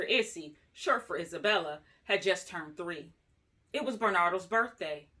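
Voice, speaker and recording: A woman reading a children's book aloud as an expressive storyteller, acting out the dialogue in an animated way. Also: mid-range voice. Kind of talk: expressive read-aloud narration. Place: small room at home, indoors.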